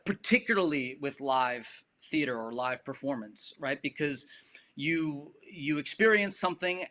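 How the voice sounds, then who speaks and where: Male panelist, medium pitch, thoughtful and earnest, feeling his way through an idea. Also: fairly bright tone. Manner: earnest, searching for words, often tagging points with "right". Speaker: arts panelist. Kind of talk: reflective panel discussion.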